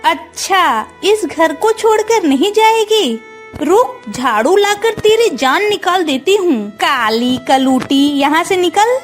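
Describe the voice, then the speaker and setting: A woman voicing a spiteful, scornful village sister-in-law in a Hindi moral-story cartoon, sharp-tongued and dramatic. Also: very high voice, animated, slow, soft background music, heavily acted. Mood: menacing, scornful.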